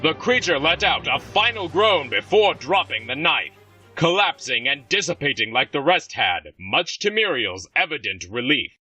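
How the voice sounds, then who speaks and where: A man narrates a fantasy story in a dramatic, scripted style. His bright voice moves widely in pitch and sits a little distant from the microphone, over faint music. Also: very animated, moderate pace, husky.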